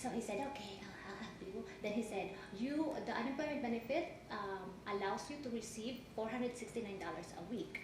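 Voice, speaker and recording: A woman from the Philippines with a Filipino accent, whose first language is Tagalog, giving halting courtroom testimony with many restarts. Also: mid-range voice. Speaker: witness in a divorce hearing. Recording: echoey courtroom, background noise.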